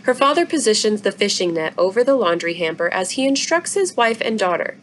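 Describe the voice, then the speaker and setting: A woman reading a children's book aloud in an expressive story-time style. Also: medium pitch, a little fast. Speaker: library story-time reader. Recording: noisy room recording.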